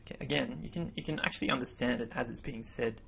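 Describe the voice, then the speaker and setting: A man whose first language is English, explaining grammar over slides in a relaxed voice-over, often repeating himself as he goes. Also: medium pitch, quick pace, creaky, slight background noise. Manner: relaxed, self-correcting explanations. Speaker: language teacher. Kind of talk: narrated slideshow lesson.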